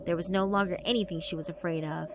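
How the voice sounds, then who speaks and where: A woman's voice: on the low side, steady tone, quick pace, noisy background. A woman reading scripted narration for a horror visual novel. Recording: music behind the voice. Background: background music.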